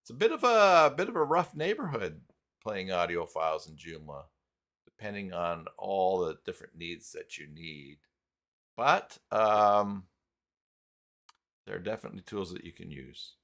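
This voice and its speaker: A man with a low voice hosts an informal live tutorial. He talks casually to his viewers and works things out aloud, in a halting, unscripted way. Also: lively intonation, a bit bright. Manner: halting, thinking aloud while clicking around. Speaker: live stream host. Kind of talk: casual live tutorial talk, reading chat.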